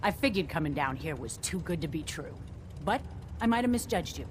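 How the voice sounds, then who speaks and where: A blunt, wary settler in a video game. Her voice is quite low and swings expressively, casual and grudging. Machinery noise runs clearly behind her. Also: expressive, a little fast. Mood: grudging gratitude.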